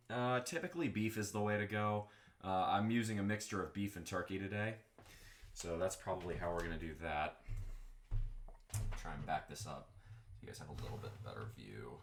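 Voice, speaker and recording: Low-voiced Southern man chatting while cooking. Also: slight background noise. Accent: Southern American. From Texas. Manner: rambling, chatty, moves around the kitchen. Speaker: streamer cooking chili. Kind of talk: casual live-stream chat while cooking. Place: home kitchen.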